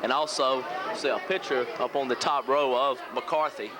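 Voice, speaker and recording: Male local play-by-play announcer from the US, mid-pitched, pregame basketball commentary, clearly noisy vintage tape recording. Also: fairly even intonation, normal speed, a crowd in the background. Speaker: local radio play-by-play sportscaster. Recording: noisy old VHS local radio audio.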